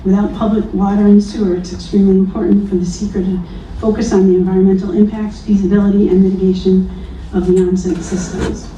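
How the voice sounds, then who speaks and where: Woman with a dark, flat voice. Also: medium pitch, fast, hardly any pauses, a little hiss or hum. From New Lebanon, New York. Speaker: public commenter. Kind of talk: reading a prepared public comment. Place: town hall meeting room. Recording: echoey distant lo-fi hall recording.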